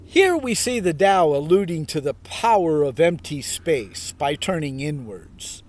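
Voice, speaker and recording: A man hosting a meditation video, reading aloud at a very slow pace and then adding his own asides. His voice sits a little high and moves up and down a lot. The delivery is halting, wry and laid-back. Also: sing-song, darkish tone. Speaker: self-styled priest, meditation video host. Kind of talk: reading aloud with informal reflective commentary. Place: outdoors in a park.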